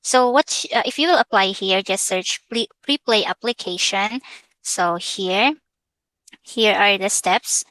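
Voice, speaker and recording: A woman's voice: mid-range voice, measured pace, bright, forceful tone, poor audio. A woman from the Philippines with a Filipino accent, speaking English as a second language after Tagalog, walking viewers through a sign-up in a hesitant, casual way and slipping into Tagalog now and then. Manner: hesitant, mixing English with Tagalog, frequent fillers. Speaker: vlogger. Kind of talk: casual screen-share tutorial.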